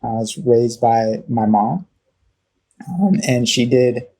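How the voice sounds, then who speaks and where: A man from Southern California with a Californian accent, telling his personal story as an interview guest, halting and often pausing mid-phrase. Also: mid-range voice, animated, a little mellow, breathy edge. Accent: Californian American. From Southern California, United States. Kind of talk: reflective personal storytelling in conversation.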